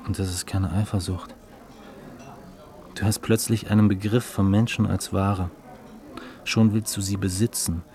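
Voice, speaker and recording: An actor playing a cool, cynical male dealmaker in a German radio drama, taunting and deliberate, with a superior, mocking manner. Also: low-pitched, steady tone, crowd noise.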